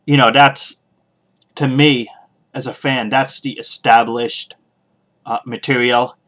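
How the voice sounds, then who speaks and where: A man hosting a podcast, giving casual solo opinions in a halting way, pausing often as he thinks aloud. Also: medium pitch, fairly even intonation, fairly bright tone. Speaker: podcast host.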